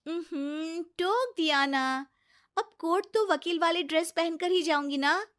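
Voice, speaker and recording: A woman's voice: very high-pitched, measured pace, a bit bright. A woman playing an exasperated but respectful young lawyer daughter-in-law in a cartoon family story, protesting plaintively.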